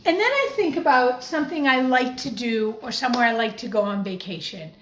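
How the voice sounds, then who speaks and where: Echoey, higher-voiced woman teaching children. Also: brisk. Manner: gentle, encouraging, simple address to young children. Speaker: primary school teacher leading a craft. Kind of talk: children's craft tutorial, talking while demonstrating. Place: home kitchen, by the refrigerator. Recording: echoey room with faint background noise.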